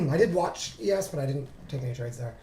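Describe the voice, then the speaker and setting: A man with a raspy, hoarse voice recovering from laryngitis, giving an informal, halting screen-share tutorial as a trading instructor. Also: fairly high pitch, big pitch swings, dark tone. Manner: halting, thinking aloud. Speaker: trading instructor reviewing charts. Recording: roomy, echoing voice recording.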